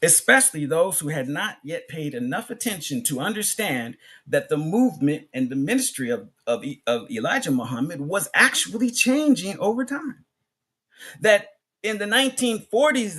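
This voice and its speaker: A man giving an Islamic sermon as a public address, speaking emphatically in short phrases with pauses, repetition and rhetorical questions. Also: fairly high pitch, sharp tone, slightly rough. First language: English. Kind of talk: sermon, public religious lecture.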